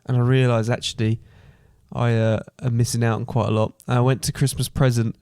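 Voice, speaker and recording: A man's voice: mid-range voice, little pitch movement, a little fast, darkish tone, smooth, no echo, clean studio sound, voice pushed down, close to an everyday voice. A man playing a bumbling, puzzled miser in an improvised comic amateur pantomime, rambling hesitantly in an everyday voice. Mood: rambling, tired. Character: chatty, bumbling Scrooge, tired and puzzled.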